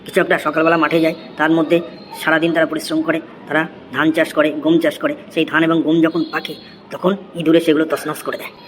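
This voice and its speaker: Over some background noise, a man acts out an eager schoolboy in a comedy cartoon. He recites like a rote essay, racing along at breakneck speed without letting up. The voice sits a little high. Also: flat delivery, fast talker, lightly acted. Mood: rote, earnest.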